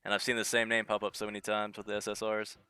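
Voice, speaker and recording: A man's voice: lowish voice, monotone, quick pace, pushed and bright, smooth. A young man with a head cold giving casual commentary on a mobile game, thinking aloud as he reads out skill text. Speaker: gaming channel host. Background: game music.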